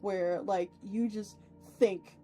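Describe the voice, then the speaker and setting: A woman's voice: medium pitch, steady tone, extremely slow, warm tone, soft background music. A woman hosting a casual livestream, chatting with viewers in an effusive, playful way with theatrical turns of phrase. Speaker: VTuber livestream host.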